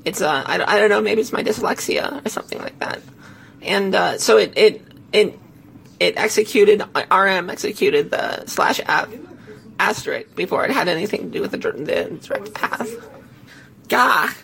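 A woman podcast host with a hoarse, failing voice tells a tech story casually, in a halting, self-correcting way. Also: mid-range voice, animated, fairly bright tone, a little hiss or hum, low-quality recording. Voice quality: hoarse, gravelly. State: hoarse, losing voice.

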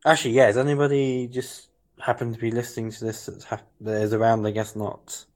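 A man co-hosting a podcast in rambling casual chat, halting and full of restarts. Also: mid-range voice, flat delivery, a little mellow. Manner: halting, many restarts and fillers. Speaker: podcast co-host. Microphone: headset.

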